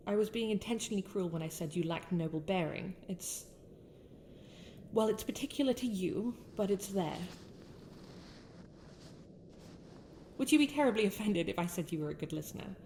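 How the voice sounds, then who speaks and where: A young winter princess, acted by a woman, speaks in a British accent at a medium pitch. Her phrasing is formal and theatrical, that of a proud, sharp-tongued royal with a softer side, in an intimate fantasy ASMR roleplay. Light ambient noise sits behind the voice. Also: expressive, a little fast, lightly acted. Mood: tender, resigned. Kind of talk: scripted ASMR roleplay addressed to the listener.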